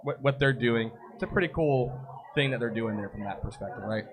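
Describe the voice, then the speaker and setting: A man with a raspy voice hosting a live soccer show, chatting casually, joking and reading viewer comments. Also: medium pitch, a little fast. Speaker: podcast host. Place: busy brewery. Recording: noisy live bar recording. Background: crowd chatter.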